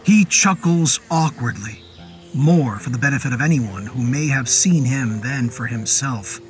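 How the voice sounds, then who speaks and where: A man narrating a scripted horror story in a measured, descriptive storytelling manner. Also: mid-range voice. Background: music under the voice.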